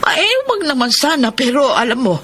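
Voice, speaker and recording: Admonishing mother, bright voice, lively melodic range, solemn, concerned, radio drama. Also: high-pitched, normal speed, a little hiss or hum. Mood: reproachful. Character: reproachful, concerned mother counselling her grown daughter. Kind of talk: Tagalog radio drama acting.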